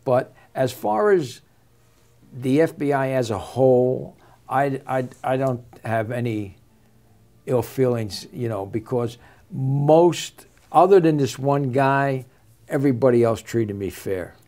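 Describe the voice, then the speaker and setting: An older man, a former undercover agent, talking plainly and low-key as an interview guest, often repeating words as he starts. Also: takes it slowly, dark tone. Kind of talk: casual interview talk.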